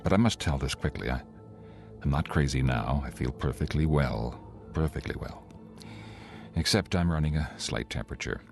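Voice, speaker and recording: A man acting a haunted, anxious traveller in his thirties in an old-time radio play, speaking urgently and haltingly. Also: extremely low pitch, animated, creaky, a little mellow, exaggerated voice. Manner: urgent, halting, repeating himself. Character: haunted 36-year-old male traveller, anxious, insisting he is sane. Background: music.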